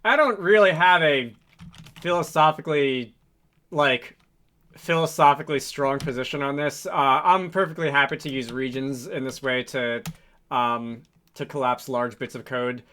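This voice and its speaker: A man hosting a casual coding tutorial, thinking aloud in a halting, improvised way with frequent hesitations. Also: medium pitch, sharp tone. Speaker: tutorial host, game developer.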